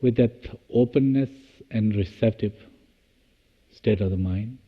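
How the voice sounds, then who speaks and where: A man leading a guided meditation, speaking in measured, halting short phrases with long pauses. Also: lowish voice, husky, big-room sound, narrow, tinny audio. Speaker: meditation teacher.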